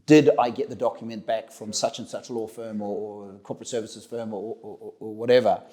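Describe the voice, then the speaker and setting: A man's voice: fairly low pitch, fairly even intonation, a little fast, dark tone, breathy edge. A middle-aged man with a New Zealand accent, answering interview questions conversationally, often repeating words and restarting as he goes. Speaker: interview guest, company director.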